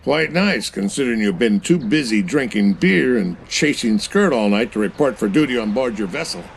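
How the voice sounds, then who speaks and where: An actor playing a gruff, blunt older American admiral in a war game cutscene. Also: male voice, mid-range voice, expressive, moderate pace. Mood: sardonic. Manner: blunt, commanding, sardonic. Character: stern, gruff older male US Navy admiral. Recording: noisy ambience behind.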